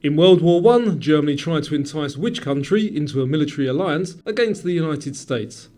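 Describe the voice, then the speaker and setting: High-pitched male quiz host, lively intonation. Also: brisk. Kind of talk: scripted quiz narration. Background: background music.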